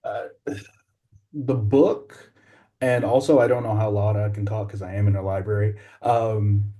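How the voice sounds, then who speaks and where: A man with a mellow, relaxed tone and a fairly low pitch chats casually on a podcast. He is a voice actor telling anecdotes in an informal way. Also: unhurried. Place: in a library.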